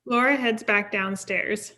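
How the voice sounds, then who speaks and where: A woman reads stage directions as scripted narration. Her voice is bright and clearly forward, sitting at an average female pitch. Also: steady tone, unhurried. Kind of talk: scripted narration at a table read.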